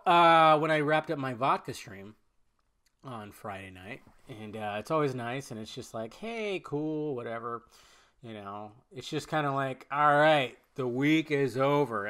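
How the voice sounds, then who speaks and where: A man's voice: medium pitch, a bit bright. A man hosting a podcast in loose, rambling casual chat, full of fillers and restarts, sounding nasal and stuffed up from a cold. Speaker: podcast host.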